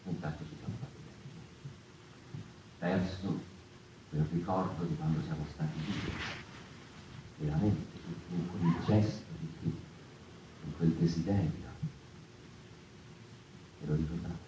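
An actor in his fifties playing a reflective, impassioned stage performer in a halting theatrical monologue that repeats words and phrases. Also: rumbling low, steady tone. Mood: hushed, reflective. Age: 50s. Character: impassioned fifty-year-old stage performer musing on freedom. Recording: echoey, distant, noisy stage room.

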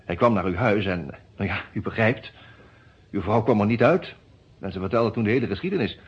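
An actor in a Dutch radio detective drama playing a composed, businesslike defence lawyer with a measured, formal manner. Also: male voice, fairly low pitch, animated, slightly rough. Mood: businesslike, reassuring. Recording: muffled old radio play recording.

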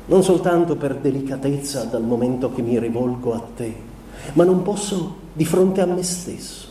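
A sensitive young husband, played by a male actor, makes a painful confession in a radio play monologue. His voice is mellow and a little high for a man, and he weighs each short phrase between pauses. The recording is very echoey, as if from across a room. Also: recorded from a distance, slight background noise, close to an everyday voice. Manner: measured, deliberate, pausing between short phrases. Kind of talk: literary radio theatre monologue.

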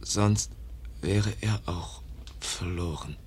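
Slow, feverish dying young man. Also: deep voice, a little mellow. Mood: fading, grateful. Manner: halting, weak, drifting into whispers. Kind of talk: German radio play acting. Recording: noisy archive radio play recording.

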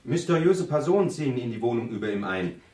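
A man's voice: mid-range voice, big pitch swings, fast talker, a little mellow, natural voice. An actor playing a zealous, argumentative male university student who lectures emphatically in a radio drama seminar. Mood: lecturing, assured. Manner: lecturing, emphatic, with theatrical build-ups. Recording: roomy, slightly distant studio acoustic.

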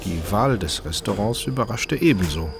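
A wry, observant chef tells his crime story in a radio drama. His voice is on the low side, with music clearly playing behind it. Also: expressive, moderate pace. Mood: wry, reflective. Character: male restaurant chef narrating his own crime story. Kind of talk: German radio drama, first-person narration and dialogue.

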